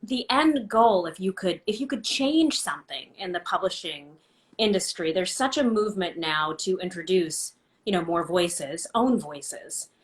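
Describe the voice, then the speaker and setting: A woman's voice: on the low side, animated, measured pace. A woman hosting an interview, asking questions in a conversational way and repeating words as she starts phrases. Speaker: host. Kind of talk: interview host.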